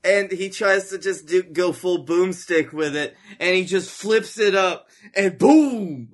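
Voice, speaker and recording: A man co-hosting a podcast in casual chat, rambling through anecdotes in a loose, halting way with joking asides. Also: sits high. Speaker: podcast co-host.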